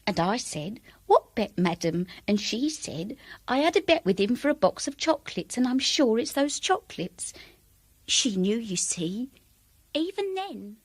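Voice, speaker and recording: A household servant in a radio drama. She is earnest and confiding, with a fairly high voice, recorded close and dry. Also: very animated, measured pace, breathy edge, no room sound, heavily acted. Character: earnest household servant woman giving testimony. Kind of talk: British radio drama acting.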